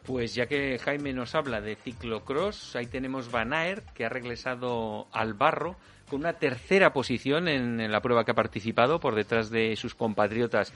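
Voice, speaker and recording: A man, talking cycling news on the radio in a relaxed, joking way. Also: mid-range voice, moderate pace. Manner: relaxed, jokey. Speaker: cycling commentator. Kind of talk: casual radio chat.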